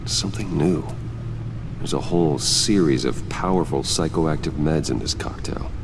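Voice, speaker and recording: An actor playing a brooding, determined vigilante detective in a video game, with an American accent, terse and grave in manner. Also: male voice, moderate pace, music in the background. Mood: analytical, grave. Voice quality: very low, weighty voice.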